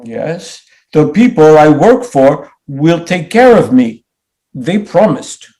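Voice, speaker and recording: A man plays a naive immigrant with a high, swooping voice. He speaks slowly in simple, formal phrases, proud and stubbornly hopeful, as in a stage drama. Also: sing-song, warm tone, poor audio. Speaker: stage actor. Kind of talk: dramatic play reading.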